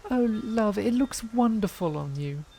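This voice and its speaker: A woman's voice: mid-range voice, animated, unhurried, mellow, voice pushed up. A fussing, affectionate loved one, acted by a woman for a fantasy audio drama. The words come tender and coaxing, a little fussy. There is faint background noise around the voice. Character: doting, fussing, affectionate partner or relative.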